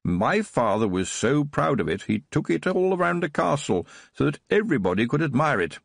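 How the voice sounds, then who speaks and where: A man voicing a frail elderly aristocrat who rambles through tearful, nostalgic memories in a husky, trembling voice. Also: on the high side, sing-song, moderate pace, dry recording, clean studio sound, pitched above the performer's own voice, exaggerated voice. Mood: enthusiastic. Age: older. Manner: trembling, wistful, rambling recollection.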